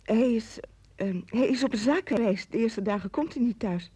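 A guarded wife on the telephone in a radio drama. She has a mellow, husky, fairly high voice and chooses her polite words with hesitation. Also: expressive, moderate pace, no echo.

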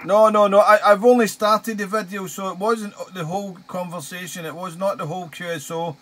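A Scottish man with a slightly high voice talks on amateur radio from his shack. He is chatty and repeats himself, moving between casual talk and call signs. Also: steady tone, a little fast, a bit bright, slight background noise. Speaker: amateur radio operator, hobby video maker. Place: small outdoor radio shack.

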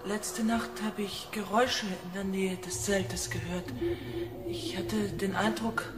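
A woman's voice: mid-range voice, fairly even intonation, takes it slowly, lightly acted. A woman playing an uneasy expedition researcher in a sci-fi radio play, making a formal report to base camp. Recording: echoey voice under loud music.

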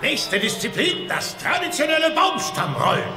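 An excitable, folksy Viking announcer in a cartoon drama. He proclaims in a very high, echoing voice over loud music. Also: normal speed, exaggerated voice. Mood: proclaiming. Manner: ringing announcer delivery. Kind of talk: animated-series radio play acting.

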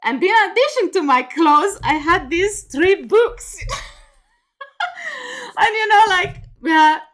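A woman's voice: extremely high pitch, lively intonation, slow, fairly bright tone. A woman, a guest on a video call, talking animatedly with lots of laughter and "you know". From Romania. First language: Romanian. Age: 50s. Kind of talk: casual video call interview. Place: home room with bookshelves.

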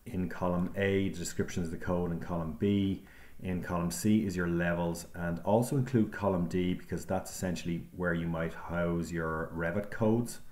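A man teaching a software tutorial over a screen recording, explaining each step calmly with frequent ums. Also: sits low, normal speed. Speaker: instructor.

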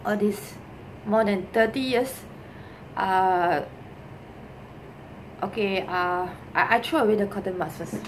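A middle-aged woman with a Singaporean accent giving a casual, improvised how-to, haltingly and repeating herself, with particles like lah and ah. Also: mid-range voice. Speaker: vlogger giving a cleaning demonstration. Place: small bedroom with desk, indoors. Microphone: camera mic at arm's length on desk. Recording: noisy home recording with room ambience.

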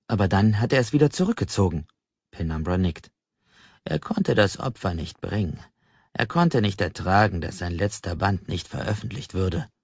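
A man's voice: low voice, darkish tone, slightly rough, no echo. A man reading a novel as a scripted first-person audiobook narration. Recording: dry, slightly dull audiobook recording.

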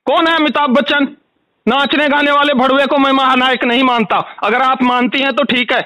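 Man, very high pitch, angry, ranting, repetitive, helpline complaint, dry call recording. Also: fairly even intonation, normal speed, sharp tone, no room sound. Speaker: complaining customer calling a helpline. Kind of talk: heated phone complaint.

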